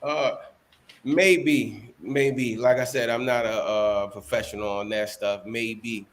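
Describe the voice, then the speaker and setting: A man's voice: mid-range voice, fairly even intonation, slow. Easygoing man guest, hedging answers. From Dominican, born in the United States. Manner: hedging, with many fillers, easygoing. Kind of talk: casual interview chat.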